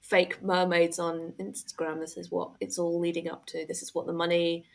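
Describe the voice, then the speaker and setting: A woman with a slightly low-pitched voice who talks in a dry, deadpan way. She is a comedian guest chatting casually on a podcast. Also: normal speed, creaky. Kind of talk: casual comic chat.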